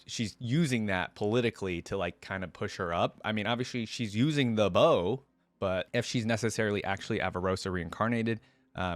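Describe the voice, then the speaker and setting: A man with a fairly low voice, recorded close and dry. He reads fantasy lore aloud as a reaction host and adds casual, conversational commentary of his own. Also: no room sound.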